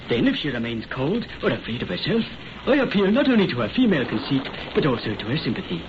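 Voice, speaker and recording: Man playing an animated young Irish rogue, old radio. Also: mid-range voice, close to an everyday voice. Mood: boastful. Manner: theatrical, declamatory. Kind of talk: old-time radio drama acting. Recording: muffled, noisy old radio recording.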